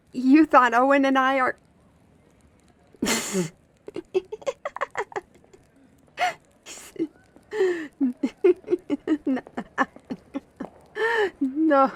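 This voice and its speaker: A woman playing a young, guarded, gently teasing fantasy woman in a roleplay audio drama, talking directly to the listener in a conversational way. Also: a little high, heavily acted. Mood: flustered, amused. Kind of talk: scripted roleplay audio acting, ASMR.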